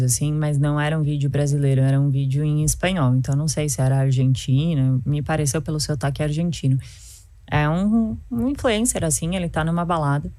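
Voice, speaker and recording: A woman's voice: sits low, warm tone, dry recording. A woman telling a story in a chatty way during a discussion. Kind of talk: casual discussion.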